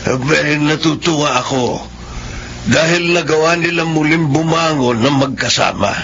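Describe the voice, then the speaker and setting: An actor playing a kindly older father-in-law from the countryside in a radio drama, with a gravelly voice. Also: fairly high pitch, measured pace, a bit bright. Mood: warm, pleased. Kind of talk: Filipino radio drama acting. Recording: dull lo-fi radio, faint noise.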